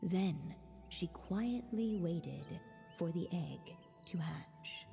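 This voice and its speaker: A woman playing a gentle, solemn fairy-tale narrator in a video game, speaking in measured literary phrases with long reverb. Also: lowish voice, animated, creaky, faint music behind, exaggerated voice. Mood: tender. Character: gentle storybook narrator, an unseen female storyteller.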